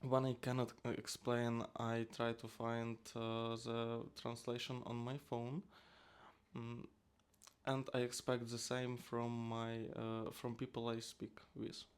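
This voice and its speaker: A young man from Ukraine, a native Ukrainian speaker with a Ukrainian accent, giving halting, hesitant answers as an interview guest. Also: medium pitch, completely flat delivery, clean tone. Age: young adult. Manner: halting, hesitant with frequent uh pauses. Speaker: student guest.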